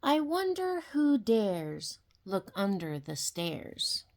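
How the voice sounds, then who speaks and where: Animated, very slow-reading older woman storyteller. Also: medium pitch. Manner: expressive, deliberate, with dramatic questions and sound words. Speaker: grandmother reading a storybook aloud. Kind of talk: children's picture-book read-aloud.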